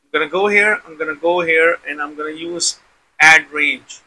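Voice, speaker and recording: A man's voice: a little high, moderate pace, pushed and bright, rough recording. A man hosting an informal tech demonstration, explaining step by step and often repeating himself. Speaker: tutorial host.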